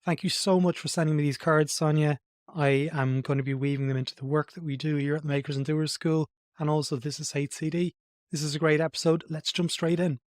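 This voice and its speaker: A man's voice: on the high side, steady tone, brisk, no echo, clean studio sound. A man hosting a design podcast, talking in an enthusiastic, conversational way. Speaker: podcast host. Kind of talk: podcast intro and interview.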